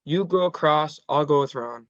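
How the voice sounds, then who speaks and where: A cautious, loyal young teen boy voiced by a man at a table read. The voice is fairly high, with a dry, muffled lo-fi sound. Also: normal speed, dry recording, lightly acted. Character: cautious, loyal fourteen-year-old boy, Gerald.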